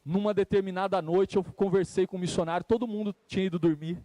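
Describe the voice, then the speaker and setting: A man's voice: sits high, steady tone, fast, warm tone, no room sound. A Brazilian man preaching a church sermon, repeating phrases for emphasis and speaking directly to his listeners in a conversational way. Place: live church service.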